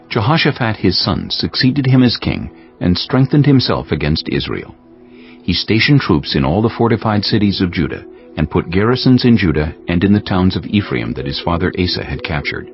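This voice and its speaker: A man narrating scripture as a scripted audiobook, in a solemn, measured storytelling manner. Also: low-pitched, sing-song. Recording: dull sound with music bed.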